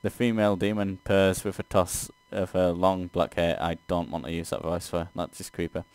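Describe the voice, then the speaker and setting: A man's voice: low-pitched, steady tone, normal speed, a little mellow, clean tone, no echo. A man doing a let's play, reading a text game aloud and reacting casually.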